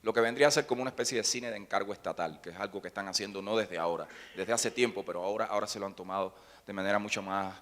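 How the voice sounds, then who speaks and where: A man's voice: fairly low pitch, rapid-fire, fairly bright tone, slight background noise. A man speaking on a panel, thoughtful and reflective, often restarting his phrases. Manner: thoughtful, with frequent restarts and hesitations. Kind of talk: academic panel talk. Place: conference hall. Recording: reverberant hall.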